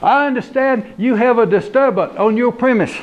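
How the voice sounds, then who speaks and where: A man's voice: very high-pitched, moderate pace, muffled recording, voice pushed up. A man voicing a bumbling, easily scared male deputy sheriff in broken, drawling English. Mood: formal.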